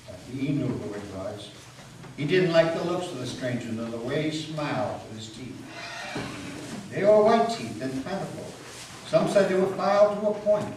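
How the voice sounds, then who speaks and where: A man's voice: on the high side, background noise. A man giving a dramatic storytelling reading of a folk tale in a folksy, emphatic manner. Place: meeting house, live reading. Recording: echoey hall from far off, music behind. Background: guitar music.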